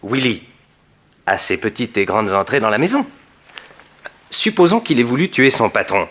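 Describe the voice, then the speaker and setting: An actor playing an eager detective's sidekick who reasons out theories emphatically in a 1960s French radio drama. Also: male voice, mid-range voice, sing-song, moderate pace, a bit bright. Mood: speculative.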